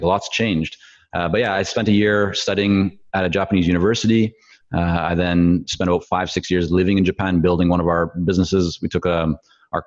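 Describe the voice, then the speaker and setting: A man's voice: low voice, lo-fi sound. A man in his forties from Canada whose first language is Hebrew, talking as a guest in a rambling, hesitant way with many fillers. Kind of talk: podcast interview.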